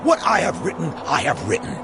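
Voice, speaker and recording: An actor playing a commanding, exasperated Roman governor in a dramatized scripture reading, with declamatory delivery. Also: male voice, a little high, wildly swooping pitch, fairly quick, loud music behind the voice. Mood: firm.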